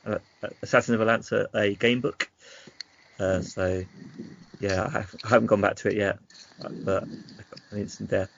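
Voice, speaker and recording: Low-pitched, creaky-voiced man chatting casually. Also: little pitch movement, moderate pace, pauses often. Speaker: podcast co-host. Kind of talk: casual chat about games. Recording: dull lo-fi sound with faint noise.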